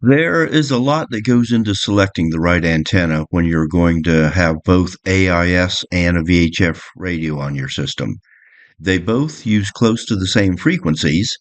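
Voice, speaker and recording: A man presenting a boat electronics guide in an instructional, explanatory narration. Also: low-pitched.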